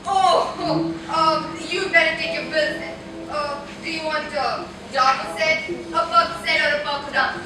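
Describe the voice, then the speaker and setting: Stage comedy: female actor as flustered caretaking wife, high-pitched, reverberant hall with background noise. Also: fairly even intonation, unhurried. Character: harried wife tending her injured husband. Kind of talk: amateur stage comedy acting. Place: college auditorium stage, recorded from afar. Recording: echoey auditorium, distant mic, noisy.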